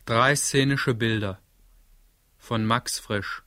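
A man's voice: mid-range voice, takes it slowly, sharp tone, no echo. A man formally announcing titles for a radio play as its announcer.

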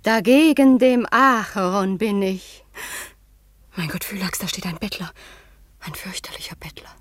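An actress playing an earnest young princess in a German radio play, with a theatrical manner. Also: female voice, mid-range voice, a little slow, no echo, natural voice. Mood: startled. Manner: solemn, theatrical recitation. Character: earnest young princess practising tragic declamation.

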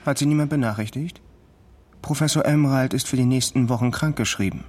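An actor playing a matter-of-fact university colleague in a radio drama, factual and unhurried. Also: male voice, medium pitch, darkish tone, natural voice.

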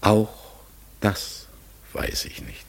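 An actor playing a melancholy, pensive talking raven in a radio play, gravelly and husky, halting and searching as he speaks. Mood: hesitant, bewildered. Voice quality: gravelly, husky, breaking into whispers. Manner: slow, halting, searching, self-correcting. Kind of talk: German radio play acting.

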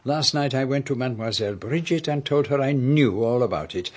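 An actor playing a shrewd, courteous detective with a French accent, formal and precise in a radio drama. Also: male voice, medium pitch, a little fast, husky, close to an everyday voice. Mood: commanding, knowing.

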